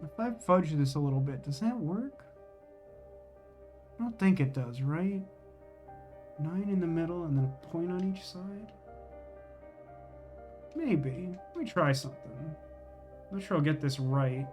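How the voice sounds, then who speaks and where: A man's voice: fairly high pitch, animated, measured pace, long pauses, over music. A man doing casual live gameplay commentary, rambling and thinking aloud as he questions himself. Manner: rambling, musing, self-questioning. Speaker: game streamer.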